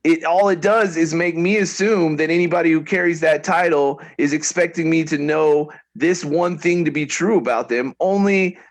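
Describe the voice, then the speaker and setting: A man's voice: on the high side, moderate pace, few pauses. A middle-aged man co-hosting a casual debate, pressing his point with questions and frequent restarts. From United States. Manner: questioning, restarts sentences often. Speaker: podcast co-host.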